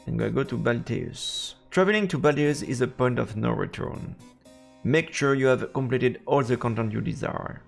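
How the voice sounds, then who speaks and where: A man's voice: mid-range voice, normal speed, vocal fry, echoey room, in a large hall. A man live-streaming a visual novel, reading the story text aloud and adding casual asides, with game music behind him.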